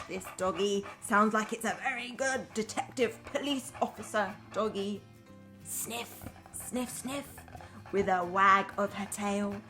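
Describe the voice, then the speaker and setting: A woman primary school teacher reading a story aloud to young children in an enthusiastic, expressive way, chatting to them between lines. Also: fairly high pitch. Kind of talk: children's storytime read-aloud with asides to the audience.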